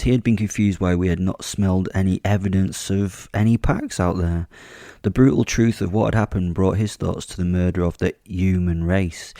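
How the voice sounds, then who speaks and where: A man's voice: deep voice, dark tone, no room sound, clean studio sound. A man hosting his podcast and telling a first-person horror story in an offhand, chatty way, swearing casually and joking darkly. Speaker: podcast host and narrator.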